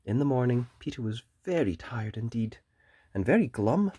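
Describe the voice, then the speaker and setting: A children's story reader and host, narrating a picture book in a gentle, deliberate and expressive way. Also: medium pitch, husky, dry recording.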